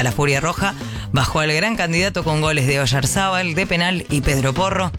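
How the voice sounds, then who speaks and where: A chatty Argentine man hosting morning radio, with a fairly high voice for a man. He reads the news and jokes with listeners, stretching words for emphasis. Soft music plays under him in the studio. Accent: Argentine Rioplatense. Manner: playful, chatty, drawn-out emphasis. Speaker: radio morning show host. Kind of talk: radio press review and chat. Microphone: studio microphone.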